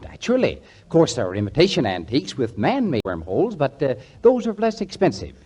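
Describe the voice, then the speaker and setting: A slick, persuasive male furniture salesman from a 1940s radio comedy. His husky voice swings wildly in pitch as he talks evasively. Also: wildly swooping pitch, a little fast, darkish tone. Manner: persuasive, hesitant fibbing.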